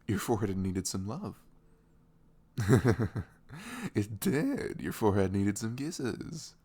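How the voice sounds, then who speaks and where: A man playing a sweet, caring young boyfriend in an intimate ASMR roleplay, chatting casually and rambling in a velvety low voice. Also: expressive, takes it slowly, warm tone, husky, heavily acted. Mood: tender, teasing. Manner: chuckling, informal, loosely rambling.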